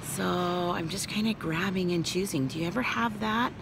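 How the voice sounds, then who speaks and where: A woman vlogger chatting casually to her viewers, hoarse from a cold and losing her voice. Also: on the low side, normal speed, background noise. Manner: chatty, talks straight to viewers with asides. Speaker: vlogger showing a grocery haul. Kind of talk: casual chatty vlog talk.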